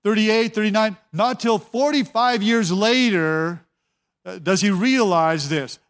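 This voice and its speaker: A man's voice: high-pitched, measured pace, a bit bright. A man preaching a sermon as a pastor, talking conversationally, repeating his words and often telling listeners to watch.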